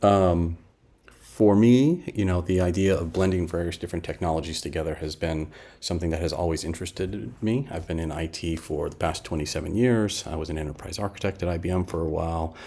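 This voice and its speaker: Man, 50s, very deep and slightly rough-voiced, informal and explanatory tech demo presenter. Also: fairly quick, mellow. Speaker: app developer presenting a demo. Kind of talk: casual screen demo narration.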